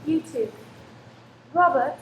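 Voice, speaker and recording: A woman's voice: high-pitched, lively intonation, slow. A woman playing an anxious, protective wife and mother in a radio play, with an urgent, dramatic delivery. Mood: subdued, uneasy. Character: frantic wife and protective mother, fearing a killer. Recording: roomy, echoing, faint background noise.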